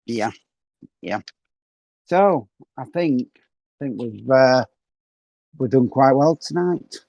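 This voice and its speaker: A man's voice: medium pitch, expressive, slow pace, gravelly, a little mellow, no room sound, poor audio. A middle-aged man hosting a podcast in a rambling, self-mocking casual chat, close to a dry mic. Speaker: podcast host.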